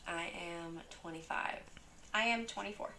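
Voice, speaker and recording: A woman's voice: on the low side, normal speed, pushed and bright, slight background noise, rough recording. A woman on a dating panel, giving short, plain answers. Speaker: interview panelist.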